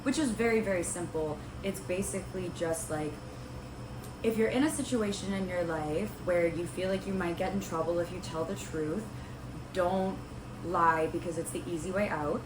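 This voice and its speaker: A young woman talks to the camera in a casual, rambling way, full of ums and likes. Her voice sits low and is a little raspy from a cold. The room sounds roomy, with clear background noise. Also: normal speed. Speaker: host of a Bible study video series. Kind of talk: casual talking-to-camera vlog.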